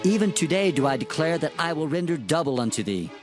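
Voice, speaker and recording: An actor playing a solemn prophet, declaiming divine oracles in archaic language in a dramatized scripture reading. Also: male voice, on the high side, sing-song, fast, music in the background. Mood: solemn, stern.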